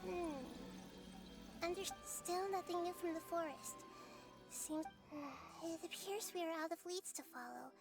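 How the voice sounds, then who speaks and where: A woman playing a wise, gentle, childlike deity in a video game, thoughtful and reassuring as she explains. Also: very high voice, a little slow. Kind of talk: scripted video game dialogue. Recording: music clearly behind the voice.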